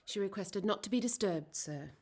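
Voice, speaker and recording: An actress playing a chatty, deferential young maidservant in a period radio drama, with a folksy, easily flustered way of talking. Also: female voice, mid-range voice, lively intonation, normal speed, a little mellow, close to an everyday voice. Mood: meek, uneasy.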